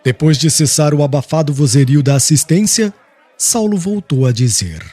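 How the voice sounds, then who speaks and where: A man's voice: fairly high pitch, expressive, normal speed, a little mellow, dry recording, some chatter behind. A man reading a solemn, dramatic audiobook narration.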